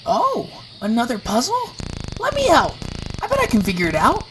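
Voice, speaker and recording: A woman's voice: high-pitched, huge pitch swings, normal speed, noisy background. A woman voicing an eager but timid young male flying creature in an audio drama. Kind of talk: audio drama character acting.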